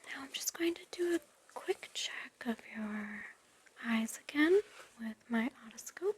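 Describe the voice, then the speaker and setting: A woman playing a gentle, attentive nurse in an ASMR roleplay, speaking in a breathy, reassuring whisper. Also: fairly high pitch, animated, slight background noise. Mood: gentle, soothing. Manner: slow, soothing, reassuring. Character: gentle, soothing, attentive female recovery nurse. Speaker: ASMR performer.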